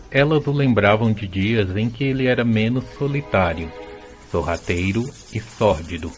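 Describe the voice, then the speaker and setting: A man with a fairly low voice narrates a story for an audio drama. He reads as a scripted storyteller, with music playing behind him.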